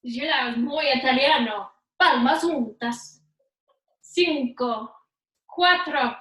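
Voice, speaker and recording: A woman's voice: sits high, slow pace, a bit bright. A woman fitness instructor giving upbeat, playful workout cues, slipping in Spanish words. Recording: echoey room, voice far from mic.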